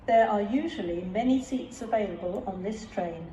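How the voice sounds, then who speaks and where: A British woman reads formal, measured train announcements in separate scripted phrases over a railway station's public address system. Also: medium pitch, mellow. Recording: distant, echoing station PA with clear noise.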